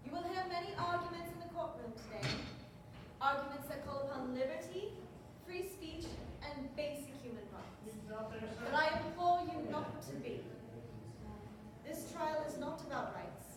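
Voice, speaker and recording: High-pitched actress as stern prosecutor, echoey hall. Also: female voice, lively intonation, moderate pace. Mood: stern, earnest. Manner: formal, earnest, oratorical. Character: Elizabeth Bennet, sharp-witted, morally stern educated woman prosecutor. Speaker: stage actor. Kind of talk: theatrical courtroom opening statement. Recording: very echoey hall, distant mic, noisy.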